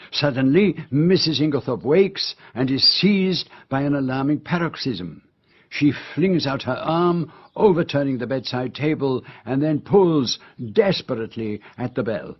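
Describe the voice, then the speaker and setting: A methodical detective played by a man in a radio mystery, patient and theatrical. His voice is slightly husky, and he lays out his case deliberately with dramatic emphasis. The recording is a little dull and muffled. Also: medium pitch, close to an everyday voice.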